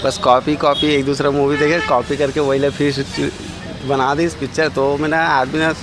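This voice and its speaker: A man's voice: fairly high pitch, flat delivery, moderate pace, noisy background. A man with a Chhattisgarhi accent talking casually in a street interview, colloquial and repeating words for emphasis. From Chhattisgarh, India. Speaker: member of the public being interviewed.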